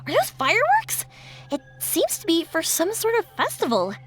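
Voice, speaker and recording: A woman's voice: very high-pitched, wildly swooping pitch, moderate pace, fairly bright tone, faint music behind, exaggerated voice. A woman playing a cheerful, eager, polite young woman in a video game. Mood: delighted.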